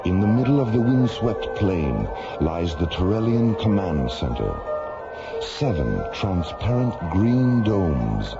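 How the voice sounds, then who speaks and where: A man narrating an old-time science fiction radio drama in a measured, portentous, dramatic style. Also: sits low. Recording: dull old radio recording, loud music behind.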